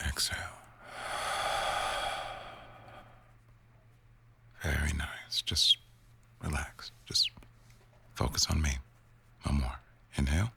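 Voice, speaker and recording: A man playing an attentive, dry-witted male news boss in an intimate boyfriend ASMR roleplay, speaking in a measured, gently teasing way. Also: rumbling low, fairly even intonation, creaky, full character voice. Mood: soothing, calm. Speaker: audio roleplay performer.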